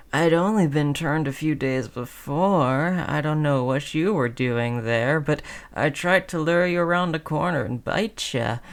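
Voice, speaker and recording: A woman's voice: deep voice, smooth, exaggerated voice. A woman playing a sly, chummy female zombie in an audio roleplay, coaxing in a falsely friendly, hesitant conversational way. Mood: chummy, amused.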